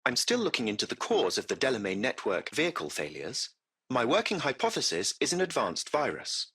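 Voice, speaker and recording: A man's voice: medium pitch, wildly swooping pitch, fairly quick, vocal fry, bright, forceful tone, slightly rough, exaggerated voice. A man voicing a courteous, composed artificial intelligence that runs a taxi fleet, speaking in formal, precise, butler-like phrases for a video game. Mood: polite, concerned. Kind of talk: scripted video game voice acting.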